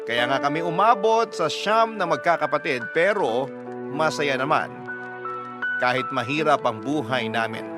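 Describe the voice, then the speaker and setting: A man narrating a scripted, confessional letter in the first person for a radio story. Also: a little high. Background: background music.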